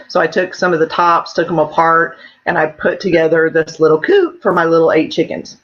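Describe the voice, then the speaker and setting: A woman's voice: deep voice, brisk. Middle aged lady from oklahoma just chatting on a stream, kinda muffled lo-fi audio. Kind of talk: casual chat on a livestream. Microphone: internet video call. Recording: dull lo-fi livestream audio.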